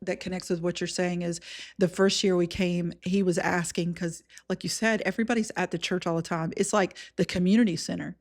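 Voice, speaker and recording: Lady host on a chatty podcast, kinda low voice, thoughtful and easygoing. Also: steady tone, a little fast. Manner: reflective, conversational, laughs easily. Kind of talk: casual podcast conversation.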